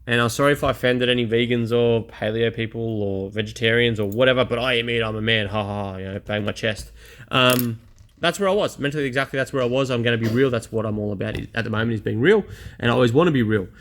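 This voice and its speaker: A man's voice: medium pitch, fast talker, a bit bright, slight background noise. A man, talking bluntly and casually about his own experience in a personal vlog. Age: 30s. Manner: blunt, chatty, self-deprecating. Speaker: vlogger. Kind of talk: candid personal vlog monologue.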